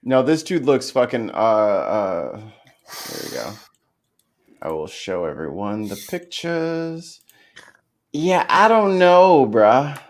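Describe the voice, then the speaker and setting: A man's voice: medium pitch, big pitch swings, takes it slowly. A man hosting a casual livestream chat over a video call, swearing and laughing often. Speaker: livestream host.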